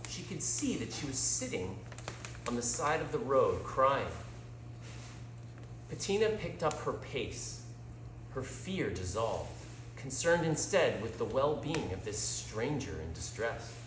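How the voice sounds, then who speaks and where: A male storyteller narrates a scripted tale in an unhurried, theatrical way. His pitch is medium and swings widely as he reads. The sound is roomy, with clear echo around the voice. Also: very animated, a bit bright, slight background noise. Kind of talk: scripted story narration, dramatic read-aloud.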